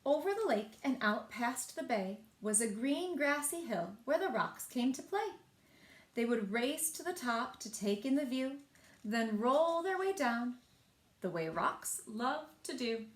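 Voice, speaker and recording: A woman reading picture books aloud in an expressive way, speaking directly to young listeners and asking them questions. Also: fairly high pitch, measured pace. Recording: echoey room.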